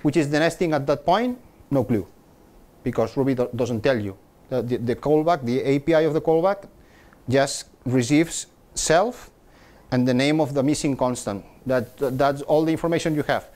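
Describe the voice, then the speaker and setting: A man's voice: mid-range voice, moderate pace, darkish tone. A middle-aged man gives a technical talk to a meetup audience, often repeating words and restarting as he explains. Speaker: conference speaker. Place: meetup room with projector screen.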